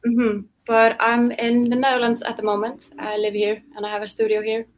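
Flat-toned woman from Iceland. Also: a little high, brisk. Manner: short agreeing replies and soft backchannels. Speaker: musician guest. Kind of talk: casual interview.

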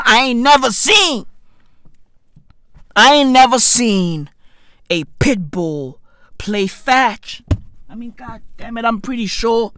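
Slow, wildly swinging ranter. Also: male voice, very high-pitched, no room sound. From South Africa. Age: 30s. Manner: exaggerated ranting with slang and sudden shouts. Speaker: comedy podcast host. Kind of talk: comedic rant.

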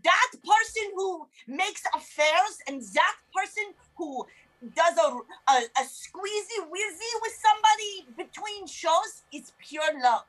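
Very shrill, melodramatic foreign assistant. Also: female voice, animated, measured pace, a bit bright, full character voice, low-quality recording. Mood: indignant denial. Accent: put-on foreign. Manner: dramatic, indignant, mangled English. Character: melodramatic, defensive female magician's assistant with dubious foreign origins. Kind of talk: improvised comedy character acting.

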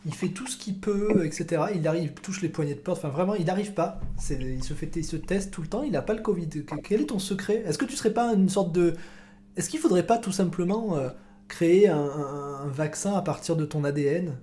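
A man's voice: a little high, a little fast, dark tone, slight background noise. A man hosting a casual football podcast, rambling, joking and repeating his words as he talks. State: recovering from illness. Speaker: podcast host. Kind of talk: casual chat.

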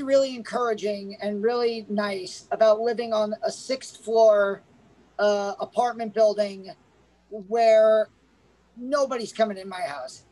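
A woman on a laid-back film review podcast, her voice a little higher than most. She chats eagerly and thinks out loud, circling back as she builds her point. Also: low-quality recording. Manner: chatty and enthusiastic, thinking aloud. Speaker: podcast co-host. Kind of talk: casual movie review chat.

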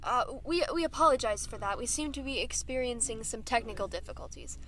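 A polished television anchor keeps a live broadcast moving with calm professionalism, as a woman acts her out in an audio drama. Her voice sits a little high, and she speaks in a clear, polite newsroom manner. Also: a little fast, heavy background noise, lightly acted. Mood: composed. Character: composed female news anchor, adult. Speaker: broadcast reporter.

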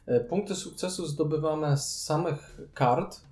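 A man co-hosting a podcast, chatting casually about board games in an informal, loosely phrased, slightly halting way. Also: fairly high pitch, a little mellow. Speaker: podcast co-host. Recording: roomy, echoing room sound.

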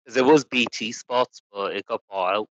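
Low-voiced host steering a chat. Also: male voice, moderate pace, no echo. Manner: steers the chat back on topic. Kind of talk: casual sports chat. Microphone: online call. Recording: dull, dry online call audio.

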